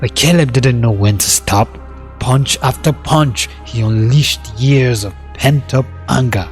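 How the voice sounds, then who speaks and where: A man's voice: mid-range voice, unhurried, faint music behind. A man narrating a scripted dramatic story as its storyteller.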